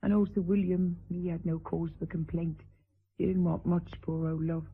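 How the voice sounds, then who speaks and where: Husky, boozy, vulgar lady. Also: lowish voice, level tone, normal speed. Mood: wry, boozy. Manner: declamatory, swinging between coarse bluntness and maudlin grandeur. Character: vulgar, boozy, blowsy lady mistress, vain yet fearful. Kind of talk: theatrical radio drama acting. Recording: thin, phone-like band-limited radio drama audio.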